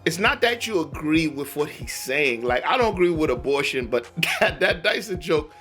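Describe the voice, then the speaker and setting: A man's voice: fairly high pitch, sing-song, normal speed, a bit bright, soft background music. A young man, chatting animatedly, laughing and swearing in a casual reaction commentary, his voice a bit worn. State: losing his voice. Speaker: reaction video host.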